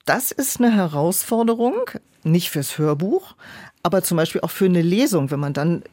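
Woman, slightly low voice, interview guest with a director's explanatory way of talking, very dry acoustic. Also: expressive, moderate pace, a little mellow, dry recording. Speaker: audiobook director and producer, podcast guest. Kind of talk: reflective interview conversation.

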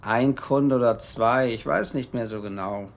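Brusque gun dealer, radio play, irritable, creaky, medium pitch. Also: male voice, unhurried. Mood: irritated. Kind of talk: German radio play acting.

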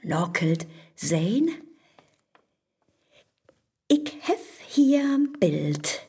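Crafty neighbour lady, low german accent, husky voice, swoops around, theatrical radio play. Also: sing-song, drawn-out words, a little mellow, exaggerated voice. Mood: flirtatious coaxing. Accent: Low German, northern Germany. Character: Marte, cunning bold neighbour woman baiting the devil online. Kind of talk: audio drama acting in rhymed verse.

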